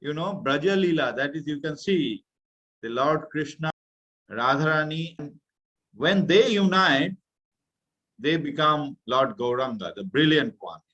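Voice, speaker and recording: A middle-aged man from India with an Indian accent, giving an earnest religious talk to a youth class and sometimes pausing to search for words. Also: a little high, expressive, fairly bright tone. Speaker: guest speaker. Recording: muffled, slightly lo-fi audio.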